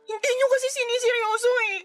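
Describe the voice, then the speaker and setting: Tearful young daughter, Filipino accent, extremely high pitch, plaintive radio drama acting, faint music. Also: female voice, fairly even intonation, quick pace, a bit bright. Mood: distressed. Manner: plaintive, emotional. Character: anxious, tearful twenty-year-old pregnant daughter.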